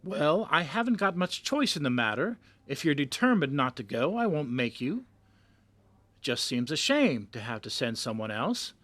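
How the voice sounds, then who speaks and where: Brisk, patronizing magazine boss acted by a man. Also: a little high, sing-song, fairly bright tone, dry recording. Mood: coaxing. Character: persuasive, dismissive male magazine boss sending a reporter out. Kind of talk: audio drama acting.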